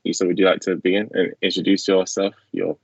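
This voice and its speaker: A man's voice: a little fast, creaky, breathy edge. A young man hosting a casual student Q&A over a video call from a small room at home. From England. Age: young adult. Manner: relaxed, low-key, fillers. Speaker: student host. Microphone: laptop webcam mic on video call. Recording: dull lo-fi video call audio.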